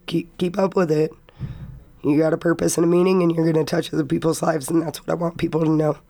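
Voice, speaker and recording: A hoarse young woman, a student guest on a college radio interview, speaking earnestly and reflectively while sick. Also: deep voice, level tone, fast talker, dark tone. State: hoarse from illness. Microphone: studio microphone.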